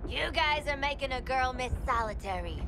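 A woman's voice: high voice, lively intonation, moderate pace, noisy background. A woman playing a cheeky, wisecracking young villain with a flippant, sardonic manner in a video game. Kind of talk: video game cutscene acting. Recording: background music.

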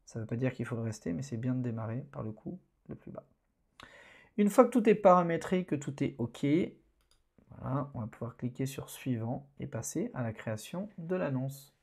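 A man talks through a screen-recorded tutorial in a relaxed, hesitant way, with many fillers, as an online course instructor. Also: medium pitch, moderate pace, creaky, husky.

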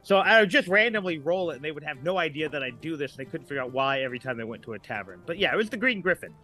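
A man speaking as a podcast guest in casual, playful, improvised chat, often halting as he searches for words. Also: fairly high pitch, lively intonation, sharp tone.

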